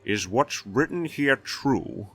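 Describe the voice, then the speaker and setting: A man's voice: mid-range voice, animated, slow pace, fairly bright tone, soft background music, voice pushed up. A man voicing a blustering, sardonic, authoritative castellan in a fantasy audiobook. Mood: sardonic, stern. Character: blustering, weary-wry male castellan of a fantasy city.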